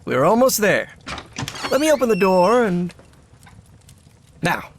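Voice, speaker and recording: An actor playing an earnest, theatrical professor and devoted husband in a dramatic video game. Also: male voice, sits high, very animated, slow, slight background noise, full character voice. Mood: playful, tender. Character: Harry Everhart, devoted husband and troubled professor, increasingly alarmed.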